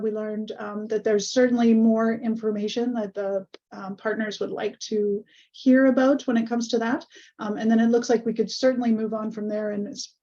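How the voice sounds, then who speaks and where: A woman hosting an online webinar over a video call, a little hoarse, friendly and full of ums. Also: on the high side, dark tone. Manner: friendly, many ums and uhs. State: slightly hoarse. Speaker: webinar host. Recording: muffled video call audio.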